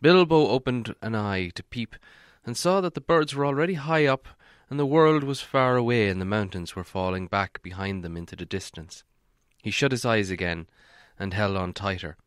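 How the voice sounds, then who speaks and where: A man narrating an audiobook in a measured storytelling way, softening on descriptive passages. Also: fairly low pitch, animated, smooth, dry recording, studio quality. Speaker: audiobook reader.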